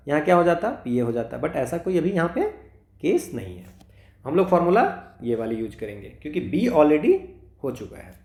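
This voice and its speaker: Male math tutor, fairly high voice, self-questioning explainer, roomy echo. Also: darkish tone. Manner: mixes Hindi and English, asks and answers his own questions. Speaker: exam prep math teacher. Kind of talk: solving exam problems step by step. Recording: echoey room.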